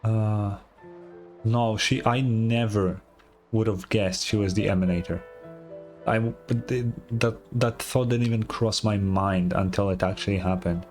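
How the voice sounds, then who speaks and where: A man's voice: lowish voice, steady tone, unhurried, darkish tone. A man streaming a game, giving casual, offhand reaction commentary with blunt swearing over game music.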